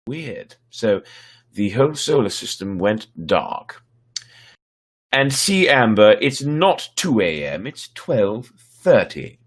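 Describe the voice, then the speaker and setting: A man's voice: on the low side, very animated, slow. A man hosting a livestreamed story show, chatting casually to viewers in a playful, theatrical, rambling way.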